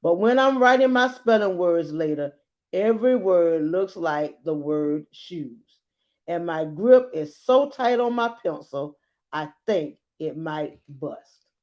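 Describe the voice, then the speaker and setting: A woman hosting a children's storybook read-aloud, reading expressively with dramatic emphasis and deliberate pauses. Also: lowish voice, expressive, darkish tone, muffled recording.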